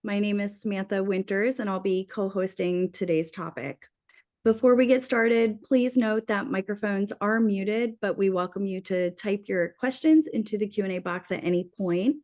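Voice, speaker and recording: A woman's voice: mid-range voice, moderate pace, a little mellow. A woman co-hosting a professional webinar, losing her voice, talking in a friendly, lightly scripted way with some fillers. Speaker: webinar co-host. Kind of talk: corporate webinar.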